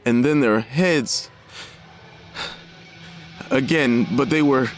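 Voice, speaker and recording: An actor playing a worried, troubled middle-aged father in an audio drama, talking gravely and haltingly. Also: medium pitch, soft background music, lightly acted. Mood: disturbed.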